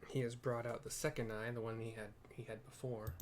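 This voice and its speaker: A man's voice: mid-range voice, little pitch movement, fairly quick. Male game streamer, mid-range voice, casual commentary, musing aloud, faint background noise. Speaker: game streamer narrating a playthrough.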